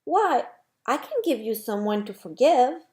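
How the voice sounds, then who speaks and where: Woman as loving storybook helper soul, high voice, unhurried, tender, reassuring, friendly. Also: sing-song, a little mellow. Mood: cheerful, helpful. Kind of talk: storybook character reading.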